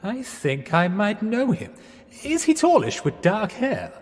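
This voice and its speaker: An actor playing a hapless, apologetic young English student in a radio drama, hesitant, literal-minded and politely dithering. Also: male voice, high voice, wildly swooping pitch, a little slow, full character voice. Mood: bemused. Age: young adult. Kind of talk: 1920s-set radio drama acting. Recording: long echoing reverb with music underneath.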